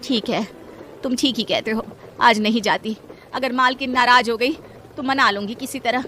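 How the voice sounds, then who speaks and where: Cartoon poor maid wife, anxious and dutiful, high pleading voice, voiced by a woman. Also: normal speed, sharp tone, a little hiss or hum. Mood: fretful. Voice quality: pleading, fretful. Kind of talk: Hindi cartoon voice acting.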